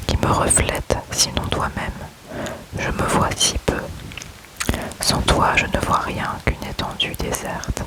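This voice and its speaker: Slow whispering man reading poems. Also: poor audio. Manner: slow, soft unvoiced whisper throughout. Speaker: ASMR creator. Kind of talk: whispered ASMR poetry reading.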